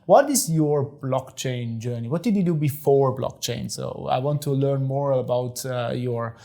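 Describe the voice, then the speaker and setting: A man's voice: mid-range voice, measured pace, vocal fry, mellow. A man hosting an interview podcast, asking questions in a friendly, hesitant way with many fillers and restarts. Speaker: podcast host. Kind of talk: casual interview.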